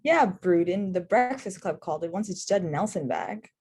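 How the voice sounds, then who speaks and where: A woman plays a mouthy, combative paramedic at a drama table read. Her voice is husky and mid-pitched, jumping about with lots of expression. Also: expressive, normal speed, a little mellow.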